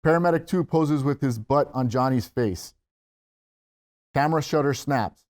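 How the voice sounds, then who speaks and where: Male narrator for screenplay table read, medium pitch, reads scene directions. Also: normal speed, darkish tone.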